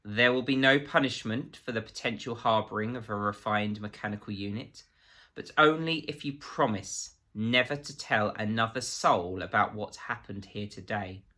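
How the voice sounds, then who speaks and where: Man acting a pompous, menacing official, forceful edge. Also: fairly low pitch, moderate pace. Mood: icy condescension. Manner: officious, precise, clipped, menacing. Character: prissy, menacing male government agent, pompous and volatile.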